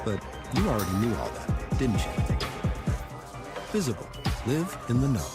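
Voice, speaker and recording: A man's voice: medium pitch, huge pitch swings, moderate pace. A man reading scripted narration for a commercial. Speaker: advertisement announcer. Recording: loud music bed.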